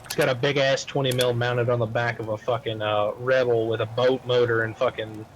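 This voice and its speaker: Male gamer, mid-pitched, loose rambling talk full of cursing, slight background hiss and keyboard. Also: flat delivery, fairly quick, rough recording. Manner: heavily profane, rambling. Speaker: roleplay game player. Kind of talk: casual online game chat. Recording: faint background noise, keyboard typing.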